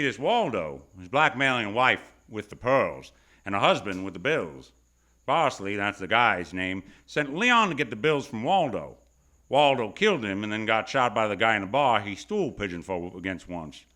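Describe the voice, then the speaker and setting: An actor playing a wry hardboiled private detective in 1940s radio style, with a dry, clipped, sardonic delivery. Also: male voice, on the low side, sing-song, normal speed, bright, forceful tone. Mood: earnest bargaining. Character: wry hardboiled male private detective, narrating his own case. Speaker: actor in live radio theatre. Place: on stage at a live theatre event.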